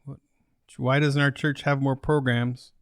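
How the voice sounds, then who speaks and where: Mid-pitched man bantering on a dry podcast. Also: level tone, measured pace, no echo. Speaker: podcast co-host. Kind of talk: casual, joking podcast banter.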